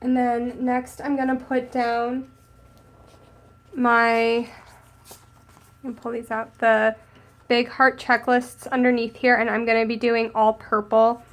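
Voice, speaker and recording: A young woman with a cold, a little hoarse and sniffly, chatting casually as she narrates decorating her planner. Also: on the high side, little pitch movement, slow pace, long pauses. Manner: chatty, upbeat, thinking aloud while crafting. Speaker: planner vlogger.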